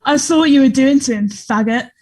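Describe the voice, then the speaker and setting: A woman's voice: high voice, brisk, a little mellow. A woman playing a cocky, crude teenage boy who taunts people in a play table read. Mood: taunting, hostile.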